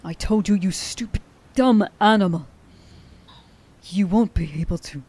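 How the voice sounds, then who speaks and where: A woman playing a stern, grieving huntress in a dramatic ASMR roleplay monologue, halting and intense in her delivery. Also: medium pitch, mellow, slightly rough. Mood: angry, agitated. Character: stern, grieving young huntress who hates werewolves.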